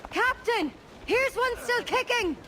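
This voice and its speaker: A woman playing a bold, brisk young pirate quartermaster in a seafaring video game. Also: very high voice, lively intonation, background noise, exaggerated voice. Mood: urgent. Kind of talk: video game acting, calling out ship orders.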